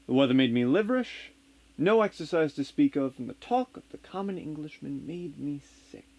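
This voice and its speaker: A man performs a bored gentleman of the 1930s in a comic stage monologue. The voice is highish and swings widely, pompous and theatrical, full of melodramatic self-pity. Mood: bored, disdainful. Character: bored, world-weary upper-class Englishman of thirty-one, 1930s.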